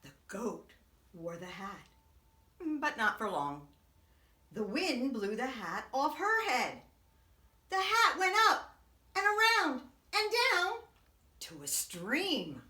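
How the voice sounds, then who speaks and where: A woman, a preschool teacher, reading a picture book aloud to young children in an expressive, dramatic way. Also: fairly high pitch, slow pace, long pauses. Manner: expressive, dramatic whispers and swoops. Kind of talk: animated read-aloud storytelling for young children. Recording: echoey room.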